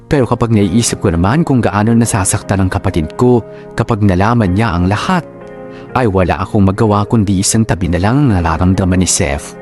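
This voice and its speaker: A man's voice: lowish voice, a little fast, darkish tone. A man with a Filipino accent narrating a scripted radio drama in the first person as storyteller. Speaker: storyteller host. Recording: music under the voice.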